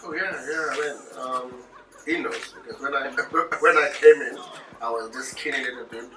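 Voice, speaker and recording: A man's voice: mid-range voice, fairly even intonation, moderate pace, pushed and bright, a little hiss or hum. A man, the show's host, telling a story casually and animatedly, often repeating words as he goes. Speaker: show host. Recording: roomy and distant with music behind.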